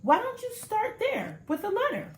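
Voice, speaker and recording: A woman's voice: very high-pitched, normal speed, roomy sound, a little hiss or hum, voice pushed up, heavily acted. A woman voicing a patient, bookish older sister who encourages her sibling in a storybook. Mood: encouraging. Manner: calm, encouraging.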